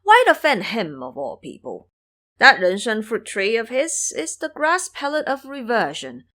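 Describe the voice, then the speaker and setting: A woman's voice: fairly high pitch, very animated, measured pace, bright, forceful tone, heavily acted. A woman voicing a dignified, formal male immortal lord who is courteous but stern, in an audio drama. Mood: scolding.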